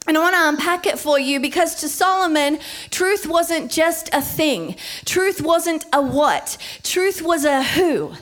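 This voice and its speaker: Woman in her forties, preacher; high voice, emphatic sermon delivery. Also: expressive, a bit bright. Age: 40s. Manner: emphatic, slowing down to stress key words.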